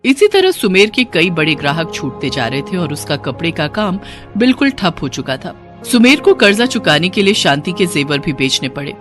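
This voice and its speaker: A woman's voice: fairly low pitch, big pitch swings, normal speed. Woman narrating over background music. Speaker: story narrator. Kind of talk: scripted moral-story narration.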